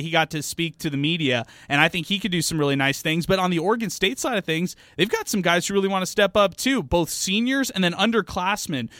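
Bright high-voiced male sports co-host. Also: no echo, studio quality. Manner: enthusiastic, rambling with fillers. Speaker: sports talk co-host and reporter. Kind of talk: studio sports talk. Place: radio studio.

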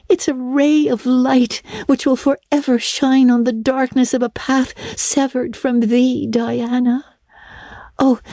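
Woman playing a high-voiced, melodramatic young girl. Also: warm tone, dry recording, studio quality. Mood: wondering, overjoyed. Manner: theatrical, solemn, flowery old-fashioned speech. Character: Anne, earnest, romantic, melodramatic young orphan girl. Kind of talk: dramatic audiobook reading.

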